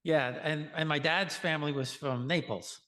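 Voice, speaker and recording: A man hosts a casual interview conversation. He speaks haltingly and often restarts his sentences while he works out what to ask. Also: on the high side, sharp tone. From Queens, New York.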